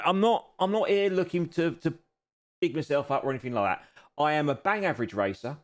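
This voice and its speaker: A man in his fifties chatting casually on a live stream while seated at a home racing simulator, self-deprecating and chuckling often. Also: fairly high pitch. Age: 50s.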